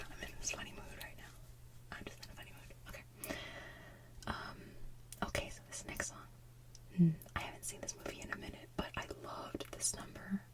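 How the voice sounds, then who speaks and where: A woman hosting ASMR in a hushed, breathy, playful way, moving between whispered chat and soft singing. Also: rough recording. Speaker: ASMR channel host.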